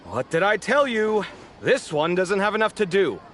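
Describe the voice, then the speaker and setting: A friendly young man from a video game, speaking in a lighter, higher male register. His delivery swings widely and expressively, chatty and teasing with a theatrical, exasperated edge. Faint music plays behind him. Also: moderate pace. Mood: indignant, sarcastic. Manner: animated, expressive, apologetic then sarcastic.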